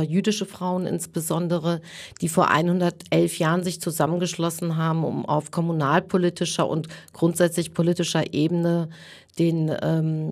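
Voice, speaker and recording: A woman in her forties, raised in Germany, talking earnestly as an interview guest, with frequent pauses to think. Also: lowish voice. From Iran, raised in Germany. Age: 40s. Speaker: guest, women's network chair.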